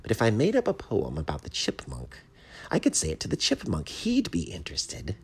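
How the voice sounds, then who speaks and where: A man's voice: deep voice, huge pitch swings, a little fast, vocal fry, breathy edge, full character voice. A man voicing a shy, earnest little bat who writes poems, tentative and naive, in a storybook reading. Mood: eager. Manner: tentative, naive, easily bewildered.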